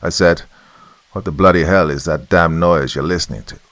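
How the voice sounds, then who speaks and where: A man voicing a muscular, stern and scornful army sergeant with a deep Nigerian accent, blunt and barking. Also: normal speed, fairly bright tone, no room sound.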